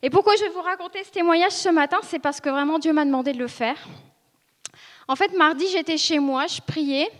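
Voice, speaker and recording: A woman in her thirties, from northern France, speaks with a high voice. She shares her testimony with a church audience, earnest and hesitant, pausing and restarting often. Her voice carries a long reverb from the hall. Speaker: church member giving testimony. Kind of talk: personal testimony to a congregation. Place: church ministry school meeting room. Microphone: seated speaker, filmed for video.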